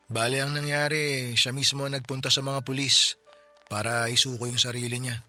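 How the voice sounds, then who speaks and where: A man's voice: medium pitch, flat delivery, pushed and bright, faint music behind. A Filipino man voicing a poor widower who has just lost his family, weary and bitter in a radio drama. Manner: weary, bitter, speaks slowly when stressing words. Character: grieving poor widower, tricycle driver, bitter about justice. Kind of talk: Tagalog radio drama acting.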